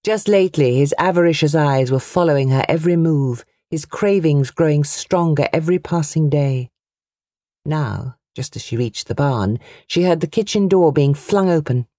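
A woman's voice: low voice, moderate pace, mellow, no room sound, studio quality. A woman reading a novel aloud as an audiobook narrator, in a dramatic storytelling style.

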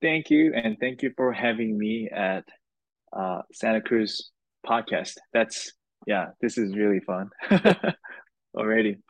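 Unhurried man with a low, dry voice. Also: expressive, dry recording, low-quality recording. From Korea. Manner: unhurried, speaks in short phrases with pauses. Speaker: guitar maker, guest. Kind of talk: casual interview answers.

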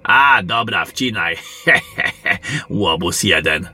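A man playing a seasoned, wry general and monster hunter in a fantasy audio drama, measured and commanding. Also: deep voice, expressive, sharp tone, faint music behind, heavily acted. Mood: amused.

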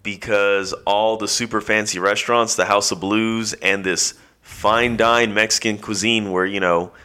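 A man's voice: lowish voice, level tone, a little slow, pushed and bright, smooth. A young man co-hosting a podcast, talking bluntly, his voice stuffed up from allergies. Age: young adult. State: congested from allergies. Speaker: podcast co-host. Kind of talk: casual chat.

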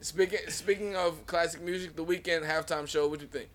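A man's voice: a little high, steady tone, moderate pace. A young man in his twenties co-hosting a podcast, chatting loosely with profanity and frequent laughter. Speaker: podcast co-host. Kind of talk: casual chat.

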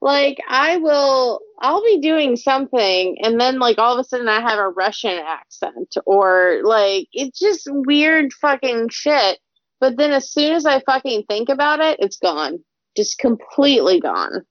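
A woman's voice: high voice, animated, measured pace, dry recording. A woman chatting casually as a podcast co-host, blunt and swearing freely. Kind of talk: casual chat. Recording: dry, dull lo-fi sound.

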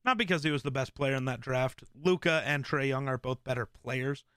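Male streamer host, smooth and clear, casual rambling delivery with fillers. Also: mid-range voice, fairly quick, dry recording, studio quality. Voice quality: relaxed, smooth voice. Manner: rambling, with many fillers and restarts. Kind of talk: casual live-stream chat.

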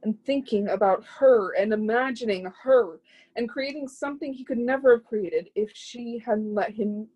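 A woman's voice: on the high side, moderate pace, mellow, natural voice, poor audio. A woman playing a proud, zealous would-be artist's muse in a play reading, speaking with lofty, scornful emphasis. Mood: fervent.

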